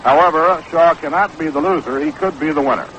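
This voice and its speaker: A man with a slightly high American voice calls a baseball game play-by-play. The style is 1960s radio sportscasting. The recording is a little muffled, with faint noise behind him. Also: fairly quick, fairly bright tone. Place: outdoor ballpark broadcast booth. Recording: dull, noisy 1960s AM radio broadcast.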